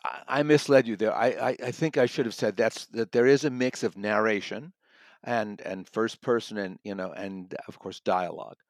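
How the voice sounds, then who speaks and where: A man speaking as a guest book critic in conversation, somewhat halting, rephrasing and repeating words for emphasis. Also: lowish voice, no room sound.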